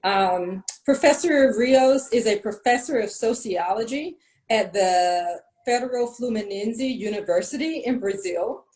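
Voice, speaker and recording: A woman hosting a university webinar, introducing the guest speaker in an even, measured way with small fillers. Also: on the high side, low-quality recording. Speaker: host, university professor. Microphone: video call.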